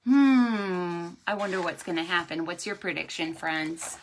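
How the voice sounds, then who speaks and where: A woman hosting a preschool storytime, reading aloud and chatting to young children in an expressive, sing-song way. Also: fairly low pitch, unhurried, slight background noise, low-quality recording. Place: at home or indoors, livestreamed.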